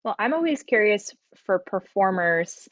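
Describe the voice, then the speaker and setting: Slightly dull, very dry sound carries a woman's mid-pitched voice. She speaks casually as a writer taking part in a panel discussion. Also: animated, a little slow, fairly bright tone, no echo. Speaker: playwright, interview guest.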